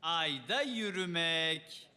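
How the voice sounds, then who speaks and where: A man playing a cheerful, showy space show host for children in a Turkish cartoon dub, announcing with drawn-out showmanship. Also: on the high side, animated, bright, forceful tone, exaggerated voice. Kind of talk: Turkish animated series dub. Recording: reverberant hall, music behind.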